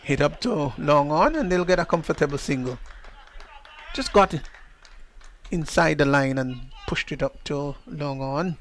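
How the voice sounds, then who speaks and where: Caribbean male cricket commentator, slightly higher voice, chatty and measured, lifts sharply on big moments. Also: slight background noise. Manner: chatty, measured, rises sharply on exciting plays. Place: commentary position outdoors at a cricket ground.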